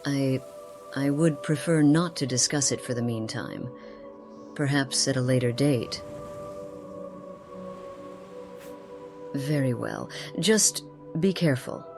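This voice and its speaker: Very low-voiced, grave woman companion voiced by an actress. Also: measured pace, over music. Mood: guarded. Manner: formal archaic phrasing, earnest. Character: Alicia, grave haunted woman companion, formal and concerned. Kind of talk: dramatic fantasy game voice acting.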